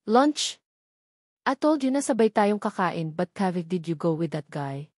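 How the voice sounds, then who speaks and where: A woman's voice: fairly low pitch, sing-song, measured pace, darkish tone, no room sound, clean studio sound. A woman with a Filipino accent voicing a jealous young husband who is insistent and mixes English with Tagalog. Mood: stern, annoyed. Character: jealous young husband, stern then apologetic.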